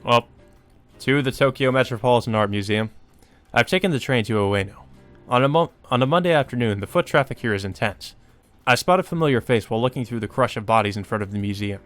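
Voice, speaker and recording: A man's voice: medium pitch, quick pace, a bit bright. A man reading visual novel narration aloud and adding casual, informal asides, sometimes with swearing. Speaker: let's play host. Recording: music behind the voice. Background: game music.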